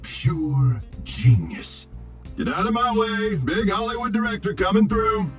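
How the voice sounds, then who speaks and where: Arrogant robot movie director for a game: highish pitch, pompous, exasperated, music underneath. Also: male voice, expressive, unhurried. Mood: self-important. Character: arrogant, impatient robot Hollywood film director, pompous diva. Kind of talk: video game character acting.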